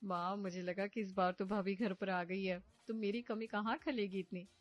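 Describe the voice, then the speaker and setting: A woman's voice: mid-range voice, fairly even intonation, normal speed, dull audio, faint music behind, close to an everyday voice. A woman voicing a cheerful young adult daughter talking to her mother in a light, casual way.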